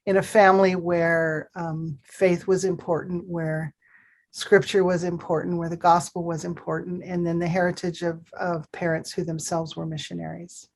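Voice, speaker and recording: A woman raised in Pakistan, answering interview questions in a reflective, measured way with frequent fillers. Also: fairly low pitch, little pitch movement, a little mellow. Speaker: guest.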